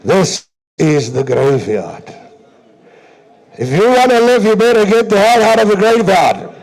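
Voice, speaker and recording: Man, South African accent, livestock auctioneer, fairly high voice, rhythmic repetitive calling, showy sales patter. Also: big pitch swings, a little slow, a little mellow, rough recording.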